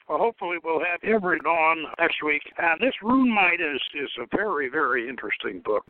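An older man hosting a radio talk show, reading commentary and chatting in a halting, deliberate way with repeated words. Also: sits high, dry recording. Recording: tinny, phone-like internet radio line.

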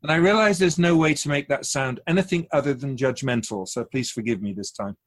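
A wise, otherworldly keeper of souls, acted by a man for a radio drama. The delivery is measured and instructive, with a gently chiding, wry edge. The pitch sits in a middle range. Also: natural voice. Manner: measured, didactic, wryly teasing. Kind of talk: conversational radio drama acting.

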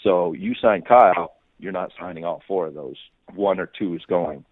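Low male voice, muffled telephone-style sound, sports reporter, unscripted analysis, stumbling repeats and restarts. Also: no room sound. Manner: halting, repeats words and restarts often. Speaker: sports reporter, interview guest. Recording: muffled phone-like radio line.

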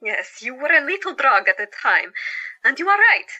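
A joyous actress as a woman doctor of 1917 in an audio drama, with a very bright, forward voice. Her pitch sits on the high side and swings freely as she exclaims, teases and gets easily flustered. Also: normal speed, muffled recording, full character voice. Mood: cheerful, teasing. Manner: animated, exclaiming.

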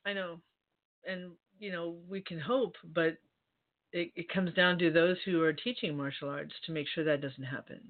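A man's voice: on the high side, fairly even intonation, moderate pace. Upbeat man on a tinny line. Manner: casual, laughs easily, short agreeing replies. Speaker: co-host. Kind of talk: casual online talk show chat. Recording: thin phone-like audio.

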